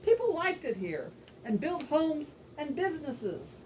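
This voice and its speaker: High, animated woman in a puppet show. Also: a little slow. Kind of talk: scripted puppet show narration. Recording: distant microphone, faint background noise.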